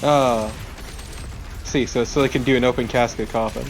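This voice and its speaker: A man with an average-pitched voice trades casual banter as a game co-commentator. He is wry and jokey, and swears freely. A faint noise sits behind him. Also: fairly even intonation, normal speed. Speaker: co-commentator on a let's play. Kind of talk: casual banter over gameplay.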